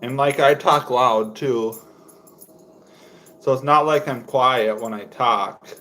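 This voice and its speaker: Rambling young man on a home livestream. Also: slow. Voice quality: low voice. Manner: rambling, relaxed, lots of "like" fillers. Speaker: fishing livestreamer. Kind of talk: casual livestream chat while crafting lures. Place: indoors at home.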